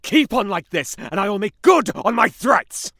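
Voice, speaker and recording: A man playing a menacing, condescending inhuman entity in an audio drama, speaking in a sardonic, theatrical and deliberate manner. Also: low voice, sing-song, a bit bright, no echo, heavily acted. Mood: menacing outrage.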